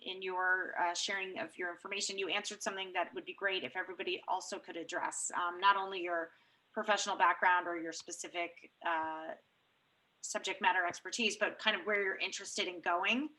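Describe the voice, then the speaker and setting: A woman with a bright, forward voice on the low side, moderating an online public meeting. She speaks in a measured, halting way, as a chair passing turns along on a video call. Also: fairly even intonation, low-quality recording.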